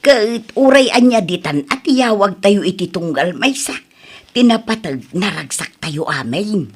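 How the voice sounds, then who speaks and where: A woman's voice: mid-range voice, lively intonation, measured pace, husky. A woman with an Ilocano accent playing a headstrong widowed mother in a comedy drama, pleading and emphatic. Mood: indignant, insistent. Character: headstrong widowed mother insisting on marrying a younger man. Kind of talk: Ilocano comedy radio-style drama acting.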